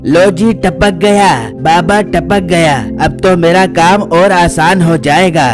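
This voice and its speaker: A man's voice: sits high, fairly even intonation, slow. A man voicing a sly, greedy, boastful male employee in a children's cartoon. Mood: gloating. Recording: music under the voice.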